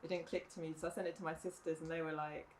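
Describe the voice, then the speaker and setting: A middle-aged woman, a mother talking reflectively in a casual podcast chat, often pausing with "um" and "you know". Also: deep voice, flat delivery. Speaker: guest, mother of the other guest. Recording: faint background noise.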